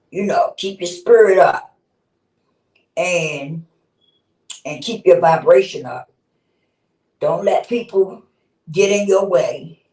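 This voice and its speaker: A woman's voice: on the low side, a little mellow, recorded from a distance. A woman in her fifties talking bluntly and casually on a livestream, swearing freely and laughing often. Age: 50s. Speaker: livestream host. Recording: roomy sound, speaking away from the mic.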